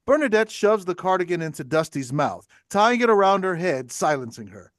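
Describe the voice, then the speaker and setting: A man's voice: high-pitched, very animated, normal speed, no room sound, studio quality. A man reading stage directions as scripted narration at a play table read.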